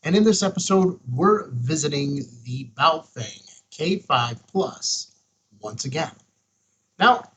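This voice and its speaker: A man's voice: medium pitch, fairly bright tone, husky, low-quality recording. A man hosting a casual hands-on product review, talking in a folksy, emphatic way with short punchy phrases. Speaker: host of a ham radio review show.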